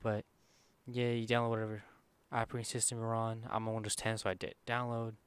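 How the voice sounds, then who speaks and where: A man with a quiet voice walking through a screen-recorded software tutorial in a casual, rambling way, full of fillers and self-corrections. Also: lowish voice, completely flat delivery, quick pace, clear, resonant tone. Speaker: streamer giving a software tutorial.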